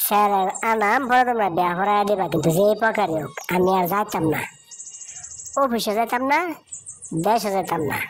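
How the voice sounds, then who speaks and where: A woman's voice: medium pitch, close to an everyday voice. A woman playing a determined, lovestruck young village woman in a cartoon, insistent, with an eastern Bangladeshi accent. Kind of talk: cartoon voice acting. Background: music.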